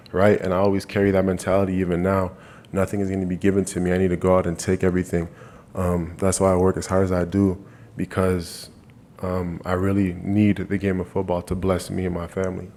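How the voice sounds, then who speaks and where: A man's voice: low-pitched, level tone, fairly quick, darkish tone. A young man from Canada answering press questions earnestly, often restarting his phrases. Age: 20s. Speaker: college football player. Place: media day press room.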